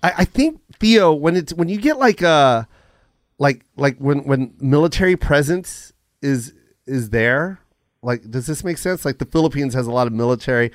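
A man in his forties chatting on a podcast, animated and profane, laughing often. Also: fairly high pitch, normal speed, no echo, clean studio sound. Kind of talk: casual podcast chat.

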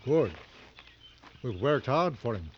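An actor playing a seasoned, world-weary professional hunter in an old radio drama, terse and commanding. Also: male voice, mid-range voice, big pitch swings, takes it slowly. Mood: brisk, commanding. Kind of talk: 1940s-50s radio drama acting. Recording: dull vintage radio recording, faint noise.

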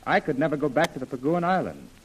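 Animated earnest, troubled major. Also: male voice, fairly high pitch, quick pace, slight background noise, poor audio. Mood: insistent explaining. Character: troubled, earnest major, a grown man explaining himself. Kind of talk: 1930s radio serial acting. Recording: old radio recording.

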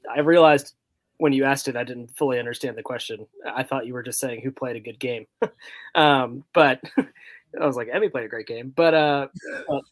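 Male podcast panelist, average pitch, informal and slightly tentative sports talk, dry close sound. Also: fairly bright tone, no echo. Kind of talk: casual sports chat.

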